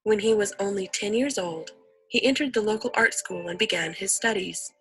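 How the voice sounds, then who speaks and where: A woman's voice: medium pitch, normal speed, a bit bright, soft background music, poor audio. A woman reading a scripted educational narration as the narrator of an instructional video.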